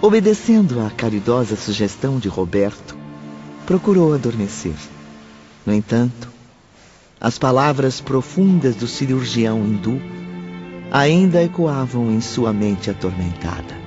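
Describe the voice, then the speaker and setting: A man's voice: mid-range voice, lively intonation, measured pace, a little mellow. A man with a Brazilian accent reading scripted audiobook narration. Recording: muffled recording under prominent music.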